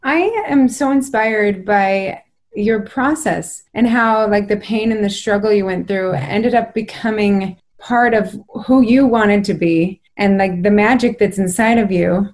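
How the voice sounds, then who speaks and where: A woman's voice: medium pitch, measured pace, a little mellow. Reflective woman podcast co-host. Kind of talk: interview questions.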